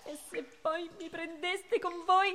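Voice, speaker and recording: Squeaky, excitable little rascal boy. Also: slow, full character voice. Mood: fawning excitement. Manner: excitable, mock-courteous, flowery, repeating phrases. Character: mischievous, cheerfully wicked little rascal boy of marble. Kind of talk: theatrical radio play acting.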